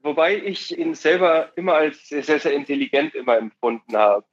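Young man, slightly high voice, casual slangy chat, emphatic, muffled lo-fi call sound. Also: lively intonation, normal speed. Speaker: interview guest on a call. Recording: dull, lo-fi call audio.

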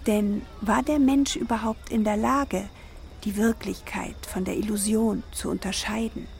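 An actress playing a caring middle-aged wife in a radio drama, measured as she reads aloud and matter-of-fact with her husband. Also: medium pitch, steady tone, vocal fry, a little mellow. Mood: absorbed, explanatory.

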